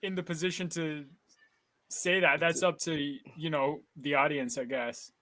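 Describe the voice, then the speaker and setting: Bright, high-voiced young man from Kenya. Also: fairly even intonation, unhurried, rough recording. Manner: chatty, thinks aloud with many hedges. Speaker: actor guest. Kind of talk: casual interview chat.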